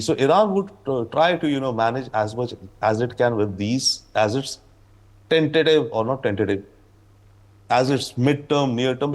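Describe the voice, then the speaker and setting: A man giving news debate commentary as an analyst, speaking emphatically and haltingly with frequent asides. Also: mid-range voice. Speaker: panel analyst.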